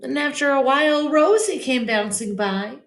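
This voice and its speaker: A woman reading a children's picture book aloud as an expressive storyteller. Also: sits high, normal speed. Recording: echoey room.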